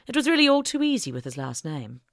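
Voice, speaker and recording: A woman's voice: on the high side, big pitch swings, fast talker, creaky, dry recording, studio quality. A woman reading a scripted story aloud as an audiobook narrator.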